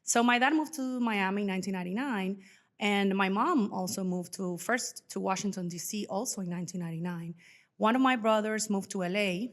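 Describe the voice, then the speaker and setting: Woman, 40s, mid-pitched voice, informal podcast guest telling personal stories. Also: a little fast. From Venezuela. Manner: chatty, informal, acts out remembered dialogue. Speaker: podcast guest, financial professional. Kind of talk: casual personal storytelling.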